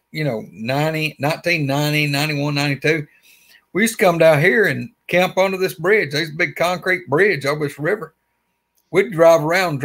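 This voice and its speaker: Alabama man spinning a wild yarn. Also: fairly high pitch. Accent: Southern US, Alabama. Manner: animated, rambling storyteller with lots of fillers. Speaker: guest. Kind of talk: casual storytelling interview. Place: his man cave, small indoor room. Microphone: webcam on a video call.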